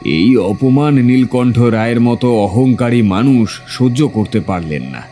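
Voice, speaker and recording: A man playing a fearful heir of a cursed landlord family, telling his tale in a grave, drawn-out way in a horror audio drama. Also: medium pitch, expressive, dark tone, soft background music, lightly acted. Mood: ominous storytelling.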